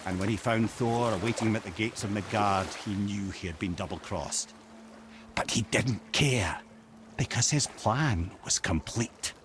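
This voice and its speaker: An actor playing the witty talking head of a wise old storyteller in a video game, eloquent and theatrical with wry asides. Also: male voice, on the low side, measured pace, fairly bright tone, slight background noise. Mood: storytelling.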